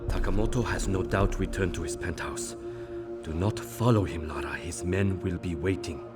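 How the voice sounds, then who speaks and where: Man playing a deep-voiced, courteous businessman. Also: over loud music, lightly acted. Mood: cautioning. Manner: courteous, measured. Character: courteous, cautioning Japanese businessman with quiet edge. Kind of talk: video game cutscene acting.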